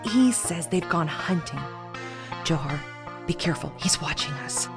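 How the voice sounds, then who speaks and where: A woman playing a wary captive guest in an audio drama, speaking in a hushed, urgent, cautious way. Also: low voice, lively intonation, a little slow. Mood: hushed warning. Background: prominent music.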